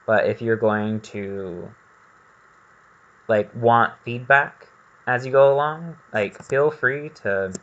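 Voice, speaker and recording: A man's voice: medium pitch, slow, fairly bright tone, lo-fi sound. A man doing casual gameplay commentary, rambling and thinking aloud as he goes. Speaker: gaming video host.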